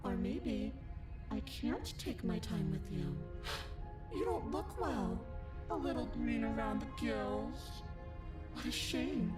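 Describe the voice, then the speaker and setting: An actress voicing a menacing, playful princess who taunts slowly and mockingly. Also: female voice, fairly high pitch, sing-song. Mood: taunting. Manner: slow, lilting, mocking. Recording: game audio with loud music.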